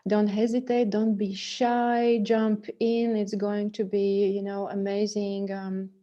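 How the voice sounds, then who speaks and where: A woman's voice: mid-range voice, little pitch movement, takes it slowly, mellow, muffled recording. A woman hosting an interview over a video call, speaking hesitantly with many fillers. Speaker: interview host. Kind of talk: interview, casual chat.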